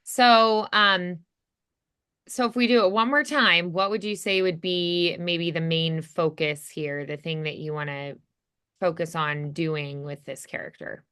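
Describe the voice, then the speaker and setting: A woman's voice: lowish voice, fairly even intonation, unhurried, bright, forceful tone, clear, resonant tone. A woman coaching voice acting in a casual, encouraging, conversational way. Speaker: voice acting teacher.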